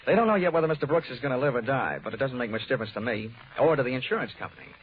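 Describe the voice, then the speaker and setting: An actor playing a wisecracking, tough American insurance investigator in a 1940s radio detective drama, speaking brisk and wry. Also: male voice, mid-range voice, lively intonation, close to an everyday voice. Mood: wry, soothing. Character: wisecracking hard-boiled insurance investigator man. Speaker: lead actor and narrator. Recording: tinny 1940s radio recording, music.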